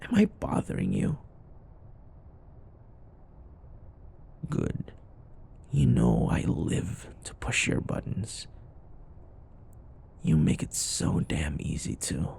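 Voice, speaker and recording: Man acting a snarky, animated office rival. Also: rumbling low, slow pace, gravelly, pauses often, husky, full character voice. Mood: taunting. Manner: sarcastic, taunting, sighs and scoffs. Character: snarky, irritable male office rival, teasing and combative. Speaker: audio roleplay performer. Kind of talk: scripted ASMR boyfriend roleplay acting.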